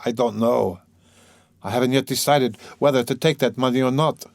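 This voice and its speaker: A man's voice: medium pitch, darkish tone, no echo, pitched above the performer's own voice, lightly acted. Man acting an anguished, guilt-ridden young murderer. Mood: brooding, musing. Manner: agitated, brooding, halting. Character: anguished, guilt-ridden young male murderer confessing, bitter and self-loathing. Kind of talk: dramatic audiobook character reading.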